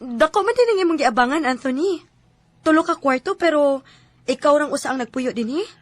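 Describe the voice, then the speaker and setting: A woman's voice: sits high, animated, brisk, dry recording. An actress playing a young woman in love, proud when hurt, in a Cebuano radio drama. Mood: curious. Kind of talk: Filipino radio drama acting.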